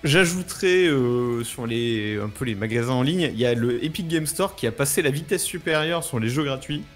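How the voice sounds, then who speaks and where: A man's voice: medium pitch, lively intonation, moderate pace, faint music behind. A man co-hosting a casual live show, informal and a little hesitant, often repeating words. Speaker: show co-host.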